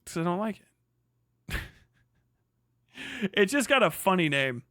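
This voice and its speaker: A man's voice: high-pitched, big pitch swings, a little slow, bright, forceful tone, no room sound, studio quality. Profane young man rambling on a livestream. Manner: rambling and profane, with frequent restarts and laughter. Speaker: livestream host. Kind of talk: casual livestream commentary reading chat.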